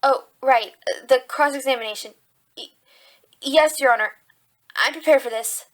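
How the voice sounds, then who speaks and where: A woman's voice: high-pitched, moderate pace, lightly acted. A woman playing an earnest, anxious but feisty young rabbit defense lawyer in an audio drama. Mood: nervous resolve. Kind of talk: audio drama acting with inner monologue. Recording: roomy, distant mic, faint music.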